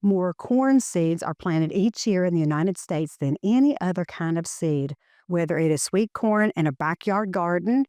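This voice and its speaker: A woman reads a children's educational picture book aloud in measured, deliberate phrasing. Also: lowish voice, a little mellow, no room sound, clean studio sound.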